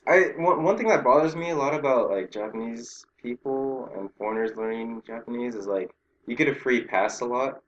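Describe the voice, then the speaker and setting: A young man chatting casually as an interview guest, peppering his talk with "like" and quick laughing asides. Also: mid-range voice, muffled recording, a little hiss or hum. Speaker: guest, Japanese learner working in Japan. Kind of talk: casual conversation.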